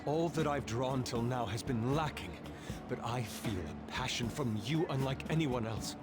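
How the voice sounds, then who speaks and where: A man playing an earnest teenage art student from an anime game. His voice is deep, with polite and intense formal phrasing. Loud music plays under the dialogue. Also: normal speed, close to an everyday voice. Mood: fervent. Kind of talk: anime video game voice acting.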